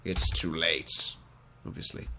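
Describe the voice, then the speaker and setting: A man's voice: slow pace, a little hiss or hum. A young man with a cold, sounding congested, giving wry, mock-posh let's play commentary through a headset. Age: young adult. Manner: mock-posh, wry, gentlemanly put-on accent. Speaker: gaming video creator.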